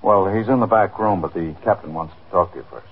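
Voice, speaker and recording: An actor playing a businesslike, courteous police desk lieutenant with a brisk official manner in a 1950s radio drama. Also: male voice, low-pitched, husky. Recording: tinny, narrow 1950s radio recording.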